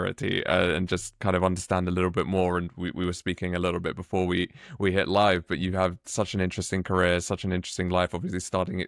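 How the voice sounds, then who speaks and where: A man's voice: low voice, smooth, no echo, clean studio sound. A man hosting a podcast interview, friendly and a bit hesitant, with many fillers and repeats. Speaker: podcast host.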